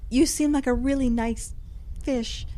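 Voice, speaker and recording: A woman's voice: sits high, fairly even intonation, measured pace, dark tone, a little hiss or hum. An actress playing an exasperated woman fending off a suitor in a comic audio drama, shifting between awkward politeness and disbelief. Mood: awkward, polite.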